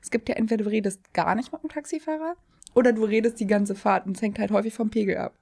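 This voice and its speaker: Young woman podcast host with gravelly fry. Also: on the high side, fast talker, darkish tone. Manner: upbeat, friendly questioning. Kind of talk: casual podcast interview.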